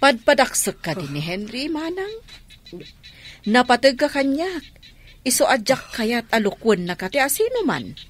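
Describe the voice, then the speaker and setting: An actress playing a loyal, indignant younger sister in a Filipino radio drama, speaking insistently with a Filipino accent. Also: female voice, sits high, very animated, unhurried, heavily acted. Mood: protective, indignant.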